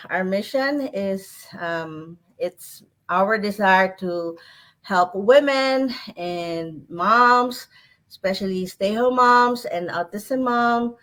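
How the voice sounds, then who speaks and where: Slow-paced Filipino woman hosting. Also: medium pitch, fairly bright tone. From Philippines. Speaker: interview host. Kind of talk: live online interview hosting.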